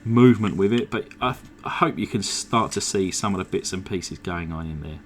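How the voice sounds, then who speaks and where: A man with a slightly croaky throat narrating his model car restoration at a home workbench, in a relaxed, chatty way full of "uh" fillers. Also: low voice, moderate pace, slight background noise. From England. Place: home workshop. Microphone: desk microphone.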